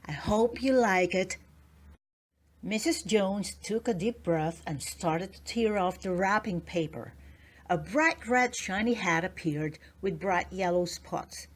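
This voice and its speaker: A woman's voice: on the low side, a little slow. Woman reading a children's storybook aloud. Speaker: children's book read-aloud narrator. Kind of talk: scripted storybook narration.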